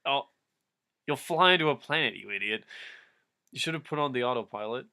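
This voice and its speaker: A man's voice: mid-range voice, expressive, a little fast, bright, forceful tone. A man reading fan fiction aloud for laughs, mock-dramatic, often interrupting himself with casual, giggly asides.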